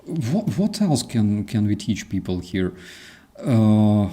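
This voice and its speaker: Dark-voiced man in lively casual chat. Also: lowish voice, takes it slowly. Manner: animated, acts out quoted phrases, many fillers. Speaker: host.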